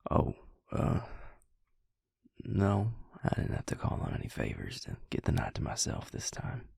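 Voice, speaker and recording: A man playing a gentle, self-deprecating, protective vampire in an intimate audio roleplay, speaking in a gravelly, breathy voice with a halting, softly teasing delivery. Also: sits low, wildly swooping pitch, no room sound, clean studio sound, heavily acted. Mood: reflective.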